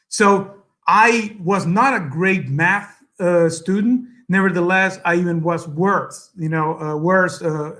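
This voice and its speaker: A middle-aged Salvadoran man with a fairly high voice gives an earnest speech at an event. He speaks English with a Spanish accent and stops often on fillers as he gathers his thoughts. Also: fairly bright tone. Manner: earnest, halting, many fillers, switches to Spanish. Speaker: guest speaker.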